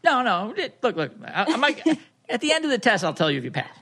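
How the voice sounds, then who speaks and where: A man co-hosting a podcast, playfully quizzing a guest in a joking, halting way. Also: very high voice, big pitch swings, sharp tone. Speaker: podcast co-host. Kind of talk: casual chat, playful quizzing.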